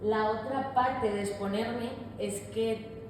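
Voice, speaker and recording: A woman giving a candid, reflective public talk, often pausing and restarting her sentences. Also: on the high side, fairly even intonation. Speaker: artist speaker. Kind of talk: public talk on mental health. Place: large echoey hall. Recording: echoey hall with long reverb, audible room noise.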